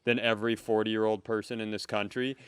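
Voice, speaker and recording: Man, middle-aged, American, clear voice, dry close recording, blunt conversational co-host. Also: fairly low pitch, flat delivery, fairly quick, fairly bright tone, dry recording. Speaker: podcast co-host. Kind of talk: casual chat.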